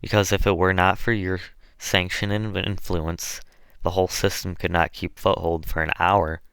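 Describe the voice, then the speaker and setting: Man reading as earnest, indignant young gentleman. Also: low-pitched, flat delivery, normal speed, clear, resonant tone, no echo. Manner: emphatic, formal, articulate.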